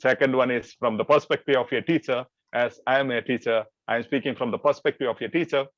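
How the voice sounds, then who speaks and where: A man's voice: mid-range voice, steady tone, fast, no echo, muffled recording. Earnest man giving study advice. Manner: earnest, advisory, uses numbered principles. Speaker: teacher and guest speaker. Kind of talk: panel talk on a video call.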